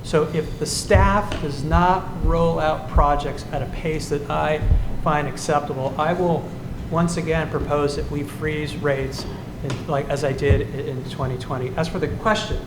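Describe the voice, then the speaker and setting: Measured male candidate, echoey hall, noisy bg. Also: fairly high pitch, fairly even intonation. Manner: measured, policy-focused, slight restarts. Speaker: city council candidate. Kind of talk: candidate forum answer.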